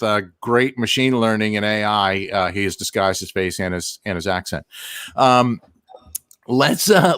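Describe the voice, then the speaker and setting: A man with a fairly low voice asks questions as a co-host. He halts over fillers and slips in jokes. His voice sounds dry and close. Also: a bit bright, no echo. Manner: halting, many fillers, joking. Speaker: co-host and interviewer. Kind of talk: casual interview chat.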